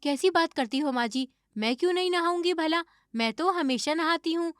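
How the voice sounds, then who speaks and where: A woman playing an evasive, stubborn young daughter-in-law who acts innocent in an animated moral story. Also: very high voice, normal speed, dry recording, clean studio sound. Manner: defensive, feigning innocence.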